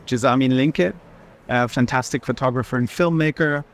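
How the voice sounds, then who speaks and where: A man's voice: mid-range voice, a little fast. A man in an unscripted walking conversation about art, often turning his statements into questions with a short tag at the end. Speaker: art institution director in conversation.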